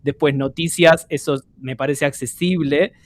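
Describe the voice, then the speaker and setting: A man's voice: on the high side, fairly even intonation, a little slow. A man hosting a casual podcast, speaking thoughtfully with frequent hesitant fillers. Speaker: podcast host.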